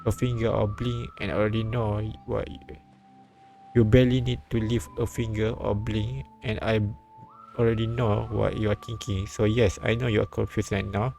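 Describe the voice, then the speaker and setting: A man live-streaming a visual novel, reading it aloud haltingly and often repeating words. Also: fairly low pitch, little pitch movement, gravelly. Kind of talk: read-aloud narration with casual asides. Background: soft game music.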